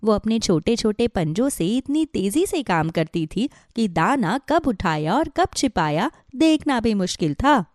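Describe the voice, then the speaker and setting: A woman narrating a scripted children's story in an expressive storytelling manner. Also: mid-range voice, a little slow, hardly any pauses, no echo, clean studio sound.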